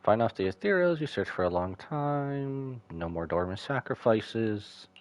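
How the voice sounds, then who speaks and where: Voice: medium pitch, expressive, measured pace. A streamer reacting live to a game, reading bits aloud and commenting in a casual, musing way.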